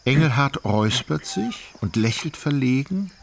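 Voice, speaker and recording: A man narrating a literary radio drama from a script in a measured, formal, wry manner. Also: mid-range voice, expressive. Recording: clear background ambience noise.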